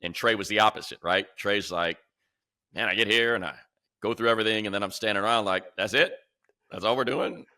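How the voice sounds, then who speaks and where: A man's voice: fairly low pitch, fairly quick, sharp tone, no room sound, clean studio sound. A man hosting a sports show, talking casually and conversationally and often acting out what other people said. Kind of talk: casual sports talk and commentary.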